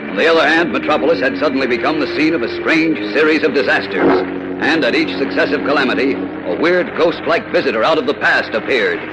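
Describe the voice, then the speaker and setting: A man's voice: medium pitch, poor audio. A man narrating a 1940s radio serial as announcer, in a dramatic, declamatory style. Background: music.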